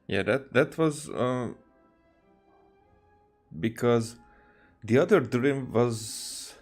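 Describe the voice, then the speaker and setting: A man giving casual video game playthrough commentary, haltingly thinking aloud as he goes. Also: mid-range voice, lively intonation. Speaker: game streamer. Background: soft game music.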